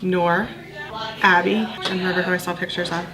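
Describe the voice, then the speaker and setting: A woman, a teacher, casually answering trivia in an animated, self-deprecating way in a noisy room. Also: on the low side, normal speed. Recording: loud room noise, slightly distant.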